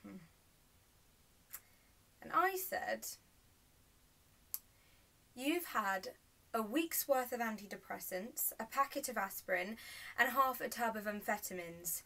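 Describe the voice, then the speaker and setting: A woman performing a naturalistic, understated stage monologue as a narrator haltingly recalling painful memories. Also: medium pitch, steady tone, creaky, fairly bright tone. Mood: bitter, furious. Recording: roomy self-tape, mic at a distance.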